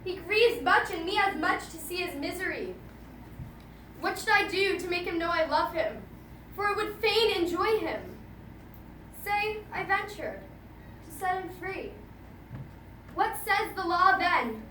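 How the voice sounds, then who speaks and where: Woman acting a bright, very high lovesick girl. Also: expressive, a little slow, pauses often, exaggerated voice. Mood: resolved. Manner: emphatic, confiding, swinging between loud and soft. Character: lovesick, impulsive teenage jailer's daughter confiding her longing. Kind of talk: theatrical classical monologue. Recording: echoey, distant mic, faint noise.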